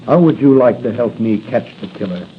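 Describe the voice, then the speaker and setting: Guy doing old time radio masked hero, cool n brisk, medium pitch, dull old recording. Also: animated, close to an everyday voice. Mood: cool, curious. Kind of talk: 1940s radio acting. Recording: muffled noisy 1940 radio recording.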